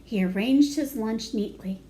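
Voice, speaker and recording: A woman's voice: a little high, a little slow, mellow. A woman reading a picture book aloud to young children, with simple phrasing and asides to her listeners. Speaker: children's storytime reader.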